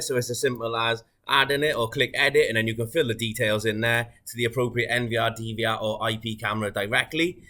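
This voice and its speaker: Male product demonstrator, bright forceful voice, mid pitch, methodical and informal tutorial delivery. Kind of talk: unscripted software walkthrough tutorial.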